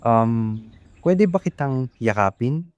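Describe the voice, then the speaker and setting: Gentle young Filipino boyfriend, played by a man. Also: mid-range voice, expressive, takes it slowly, dull audio. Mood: tender. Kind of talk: amateur radio drama acting.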